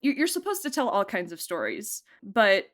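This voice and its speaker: A woman producer and director chatting casually in an interview, talking in an informal, emphatic and animated way. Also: medium pitch, moderate pace.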